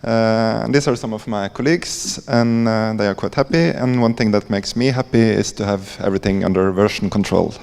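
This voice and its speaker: A man with a Norwegian accent giving an informal conference presentation in a halting, hesitant way, with frequent pauses. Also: lowish voice, creaky, darkish tone, clean tone. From Norway. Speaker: conference presenter, library coder. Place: conference hall. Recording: echoey hall with long reverb.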